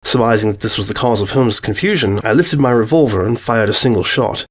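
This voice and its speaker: A man's voice: fairly low pitch, a little fast. A man narrating a scripted short story as its narrator.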